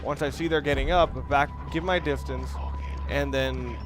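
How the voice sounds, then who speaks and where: A man's voice: on the high side, steady tone, moderate pace. A man giving casual, rambling walkthrough commentary on a video game, correcting himself and explaining tactics as he plays. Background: video game music and sound.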